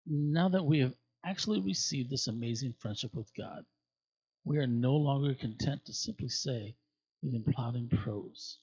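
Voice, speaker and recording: A man with a husky voice reads scripture expressively, leaning on key words and pausing for effect. His pitch sits in a middle range. The sound is dry and slightly dull, as if the top end were rolled off. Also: darkish tone, dry recording. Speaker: scripture reader at a church.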